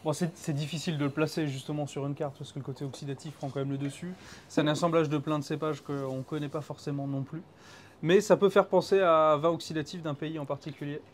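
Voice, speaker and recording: A man hosting a wine tasting, describing wines in a relaxed, hesitant way. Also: fairly high pitch, fast, a little hiss or hum. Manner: hesitant, many fillers, descriptive tasting talk. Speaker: host. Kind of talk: casual wine tasting chat.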